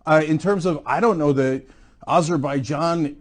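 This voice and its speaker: A man's voice: on the high side, moderate pace. A man hosting a political show, arguing in a heated debate with many hesitant fillers.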